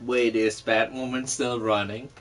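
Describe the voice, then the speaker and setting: A man's voice: mid-range voice, flat delivery, a little slow, faint music behind. A man chatting casually over a remote call about comics and games, loose and joking, laughing easily. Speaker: podcast co-host.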